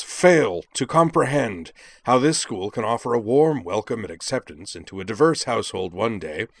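A man's voice: lowish voice, dry recording, pitched above the performer's own voice. A man voicing an indignant young alien student who declaims in a pompous, wordy, theatrical way, in an audiobook-style story.

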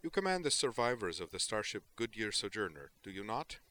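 A man acts out a young alien woman in an audiobook story. She is fiery and defiant, with formal, impassioned delivery. The voice is bright and pushed higher than the reader's own. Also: very animated, a little fast. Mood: formal, determined. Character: fiery young female alien pleading before admirals.

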